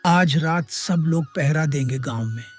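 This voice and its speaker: A man's voice: a little high, unhurried, faint music behind, natural voice. A man playing an authoritative, acquisitive village headman who gives orders in a dramatized moral story. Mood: commanding.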